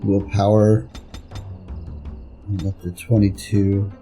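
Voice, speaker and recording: Very mellow, dark-voiced man gaming. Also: on the low side, level tone, slow. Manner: rambling, thinking aloud. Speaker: gameplay streamer and reviewer. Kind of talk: casual gameplay commentary. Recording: game music behind the voice. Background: video game music.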